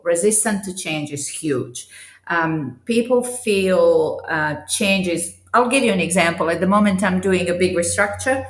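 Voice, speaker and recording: A woman's voice: mid-range voice, reverberant. A woman from Croatia, a change specialist, speaking as an interview guest in a reflective, searching way. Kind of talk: interview over video call.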